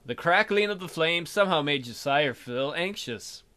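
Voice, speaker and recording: A man's voice: on the high side, expressive, normal speed, pushed and bright, clear, resonant tone, soft background music. A man hosting a let's play, reading game text aloud expressively and adding casual jokey commentary.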